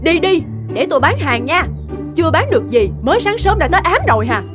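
Outspoken village food seller, high-voiced. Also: female voice, quick pace, over music. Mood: scolding. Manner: exclamatory, expressive. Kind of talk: animated folk-tale dubbing.

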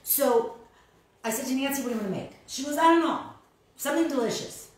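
A woman's voice: high voice, quick pace. An older woman hosting a casual cooking demonstration in her home kitchen, chatty and explaining each step as she cooks. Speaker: cooking show host. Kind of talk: casual cooking demo chat. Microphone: far camera mic. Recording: echoey kitchen, mic at a distance.

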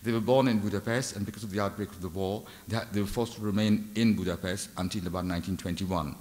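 A man giving an unscripted art history lecture from a lectern, talking in a halting way with many fillers and restarts. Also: on the low side, steady tone, a little mellow. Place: large dark lecture hall. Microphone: lectern microphone. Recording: long hall reverb.

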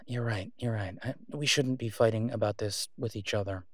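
A man playing a worried, devoted boyfriend in an audio roleplay, speaking haltingly and earnestly, as in intimate conversation. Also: fairly low pitch, a bit bright, dry recording, studio quality, close to an everyday voice. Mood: earnest, conciliatory.

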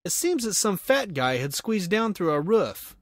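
A man's voice: sits high, big pitch swings, moderate pace, dry recording, clean studio sound. A man hosting a casual solo podcast in a jokey, self-deprecating manner. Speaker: podcast host.

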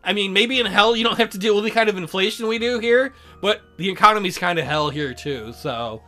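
Lively, sarcastic woman gamer. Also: medium pitch, brisk, faint music behind. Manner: joking, sarcastic asides. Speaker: let's play host. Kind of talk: casual game commentary.

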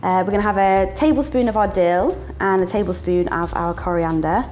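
A woman's voice: medium pitch, a little fast, darkish tone, soft background music. Chatty young woman cooking in a kitchen. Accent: northern English. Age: young adult. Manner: chatty, playful, laughs often, sound words. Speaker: student cooking presenter. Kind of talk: casual cooking demonstration. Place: small indoor kitchen.

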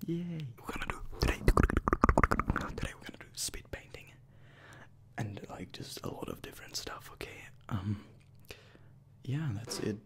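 A man's voice: fairly low pitch, lively intonation, moderate pace. A young man doing close-up whispered ASMR, repeating words and short phrases over and over into a close microphone. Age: young adult. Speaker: ASMR video creator, host. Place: small bedroom, indoors. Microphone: close desk microphone with foam windscreen.

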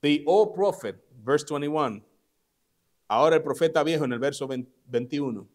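A man's voice: on the high side, moderate pace, a little mellow. A male pastor, a native Spanish speaker, giving an emphatic sermon in a church service, saying each line in English and then repeating it in Spanish. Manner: emphatic, stressing key words. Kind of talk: bilingual sermon.

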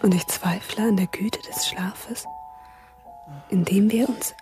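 Over clear music, a solemn poetic voice recites in a radio drama, performed by a woman. Her pitch is medium and her delivery grave. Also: fairly even intonation, slow pace, in a whisper, lightly acted. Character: solemn poetic voice warning troubled sleepers.

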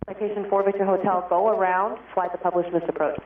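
A woman's voice: medium pitch, steady tone, quick pace, reverberant, recorded from a distance, narrow, tinny audio. An American woman working as an air traffic controller, giving clipped instructions over aviation radio.

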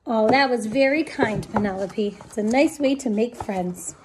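A woman's voice: a little high, normal speed, background noise. A woman in her thirties reading a picture book aloud expressively to children, speaking to them directly. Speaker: children's story time reader. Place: small room indoors at home.

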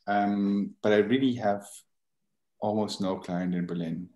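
A man's voice: fairly low pitch, steady tone, a little slow, a little mellow. Hesitant man on a roomy call. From Switzerland. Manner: hesitant, many restarts and fillers. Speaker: book designer. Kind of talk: casual chat. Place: room with bookshelves. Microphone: video call. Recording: roomy video call audio.

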